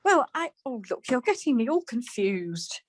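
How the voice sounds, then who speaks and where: A woman's voice: on the high side, big pitch swings, normal speed, no room sound, clean studio sound. A woman acting a scatty, easily exasperated wife in a domestic radio comedy. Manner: flustered, snippy.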